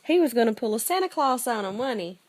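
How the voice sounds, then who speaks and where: A woman's voice: fairly high pitch, lively intonation, fast. A woman telling bedtime stories to a child in a playful, chatty way, often prompting with questions. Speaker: storyteller to a child. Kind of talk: bedtime storytelling with casual chat.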